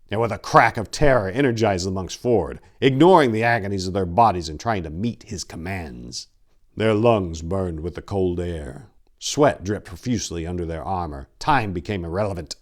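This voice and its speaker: Very deep-voiced man narrating dark fantasy. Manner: solemn, theatrical, grave. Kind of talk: dramatic reading of dark fantasy fiction.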